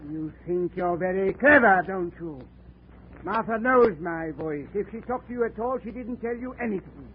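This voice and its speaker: A man's voice: high voice, animated, normal speed, a little hiss or hum. A man playing a bitter, scheming murder suspect in a 1940s radio drama, sneering and defiant. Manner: sneering, defiant, panics when cornered. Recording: tinny old radio broadcast recording.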